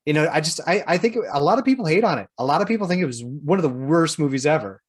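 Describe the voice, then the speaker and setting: A man co-hosting a podcast, talking casually and informally with a halting, searching delivery. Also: fairly high pitch, animated, slightly rough, no echo, clean studio sound. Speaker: podcast host.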